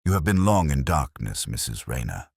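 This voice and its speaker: A man voicing a calm, cerebral Victorian detective with measured, formal speech and quiet authority in an audiobook story. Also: a bass voice, slightly rough, no echo, studio quality, full character voice. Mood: solemn, gentle.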